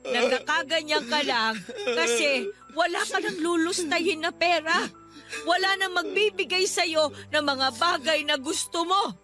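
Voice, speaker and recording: A grieving female guardian in a radio drama, her voice very high and heavy with reproach. She scolds bitterly, stretching her words for emphasis, over prominent background music. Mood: reproachful. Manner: reproachful, tearful, drawn-out emphatic delivery. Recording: music behind the voice.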